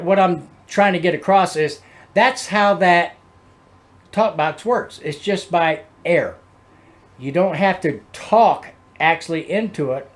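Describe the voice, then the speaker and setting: A man giving casual music commentary as a host, explaining things in a halting, rambling way. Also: fairly high pitch. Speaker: host of a music reaction video.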